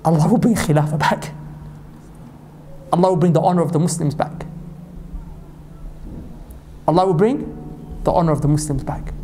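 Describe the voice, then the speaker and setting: A man from Pakistan delivering an impassioned sermon as a preacher, reciting scripture quickly and climbing to high emphatic peaks. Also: mellow, slight background noise. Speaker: Islamic preacher.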